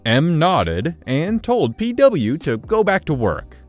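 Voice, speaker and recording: A man reads an online story aloud, with his pitch swinging widely as he tells it. Soft music plays behind him. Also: slow pace. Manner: animated storytelling. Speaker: story narrator. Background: soft background music.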